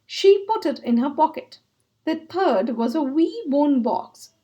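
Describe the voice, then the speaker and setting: A woman's voice: sits high, sing-song, slow pace, mellow. A woman narrating a children's book read aloud as a storyteller.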